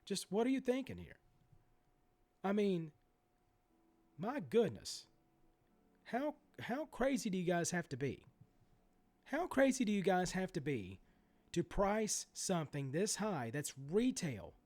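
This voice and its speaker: A man's voice: high voice, very animated, unhurried, long pauses, darkish tone. A middle-aged man with a sore throat and stuffy nose, ranting indignantly as host of a sports card channel. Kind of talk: ranting commentary. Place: home room.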